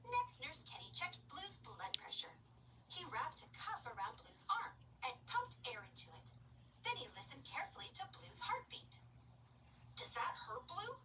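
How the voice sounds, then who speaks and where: A woman's voice: extremely high pitch, huge pitch swings, normal speed. A woman storyteller expressively reading a children's picture book aloud. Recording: noisy, distant home microphone.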